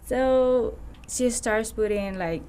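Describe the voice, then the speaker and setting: Slow-talking woman. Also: on the high side, warm tone, slight background noise. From Venezuela. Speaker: guest artist. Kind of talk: casual interview talk.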